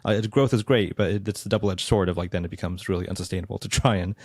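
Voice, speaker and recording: A man hosting a podcast, asking questions in a relaxed, rambling way full of ums and likes. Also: low-pitched, fast, no room sound, clean studio sound. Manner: relaxed, filler-heavy, many ums and likes. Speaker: podcast host. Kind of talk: casual podcast interview.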